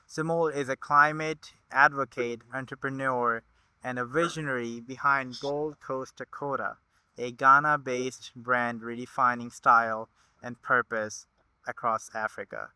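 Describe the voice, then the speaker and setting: A man hosting a podcast interview, effusive and quick to exclaim his enthusiasm. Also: medium pitch, steady tone, sharp tone, smooth, no room sound, poor audio. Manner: effusive, exclaims wow often. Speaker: podcast host.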